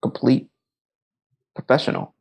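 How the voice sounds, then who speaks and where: A man's voice: unhurried, darkish tone. A man in his thirties co-hosting a podcast, talking in a loose, rambling way with lots of "like" and restarts. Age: 30s. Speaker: podcast co-host. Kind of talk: casual chat.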